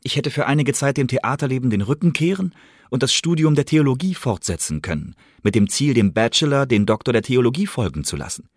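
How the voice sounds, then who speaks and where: A man's voice: medium pitch, lively intonation, brisk, dry recording, clean studio sound, close to an everyday voice. An actor playing a remorseful young playwright, reading his formal letter home aloud in a German radio drama. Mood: earnest, rueful. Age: young adult.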